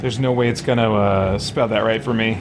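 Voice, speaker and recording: A man's voice: lowish voice, steady tone, brisk, heavy background noise. A man giving casual gameplay commentary, thinking aloud in a rambling, musing way. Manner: rambling, musing, trails off into side thoughts. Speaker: gaming streamer, let's player.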